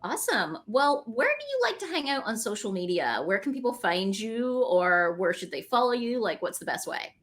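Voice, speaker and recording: A woman's voice: fairly high pitch, very animated, normal speed, few pauses, a bit bright. A woman hosting a conversational podcast interview, effusive and encouraging with her guest.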